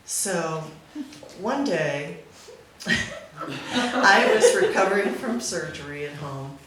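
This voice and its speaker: A woman's voice: lowish voice, expressive, takes it slowly, crowd noise. A woman speaking at a memorial gathering, telling an informal anecdote in an amused way. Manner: amused, often laughing as she talks. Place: back room at a live gathering. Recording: very echoey room, faint noise.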